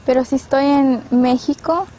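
A woman answers a street interview casually in a somewhat high, mellow voice. Faint outdoor ambience sits behind her. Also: steady tone, moderate pace, slight background noise.

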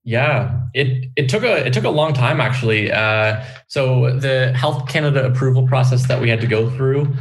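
A man's voice: mid-range voice, moderate pace, hardly any pauses, poor audio. A man who founded a vitamin company, talking in a friendly, rambling way with lots of fillers as a podcast guest. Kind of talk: casual podcast interview.

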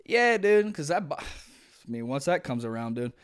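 A man's voice: a little high, big pitch swings, normal speed, smooth. A young man co-hosting a podcast in casual chat, rambling with lots of slang and restarts. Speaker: podcast co-host.

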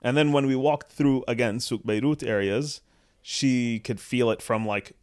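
A young man from Canada, co-hosting a podcast, tells a long story at his own easy pace. His voice sits at a middle pitch, and he laughs readily and acts out the people he quotes. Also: unhurried. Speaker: podcast co-host. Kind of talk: casual storytelling chat.

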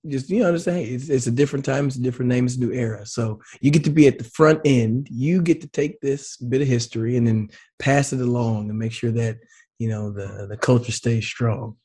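Male co-host, former player, relaxed, husky and a bit scratchy. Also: mid-range voice, fairly quick. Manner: relaxed, laid-back, lots of fillers. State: slightly raspy, scratchy throat. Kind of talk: casual sports talk.

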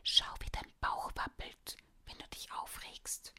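Whispering a cheerful young woman teasing her pony, bright hushed voice. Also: slow.

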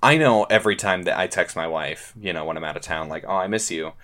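Um homem apresentando um podcast em conversa descontraída, cheio de hesitações e recomeços. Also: male voice, low-pitched, fast, bright, forceful tone. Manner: rambling, many fillers and restarts. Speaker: podcast host. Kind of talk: casual podcast chat.

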